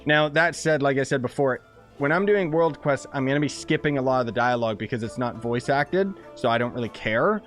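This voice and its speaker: A young man chatting through a game stream, relaxed and thinking out loud. His voice sits in an ordinary middle range. Faint game music plays underneath. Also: medium pitch, brisk. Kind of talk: casual live-stream commentary.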